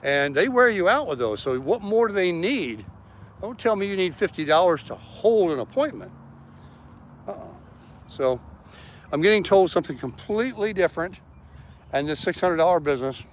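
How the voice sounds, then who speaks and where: A man's voice: sits high, sing-song, normal speed, long pauses. A man chatting casually in a vlog, talking through things in an informal way.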